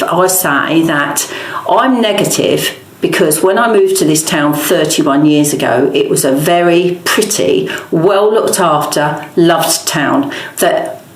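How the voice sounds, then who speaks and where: A middle-aged woman answers interview questions in a low-pitched voice. She is opinionated and emphatic, piling up points in long conversational runs. The room around her adds audible echo. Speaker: local campaigner and interviewee.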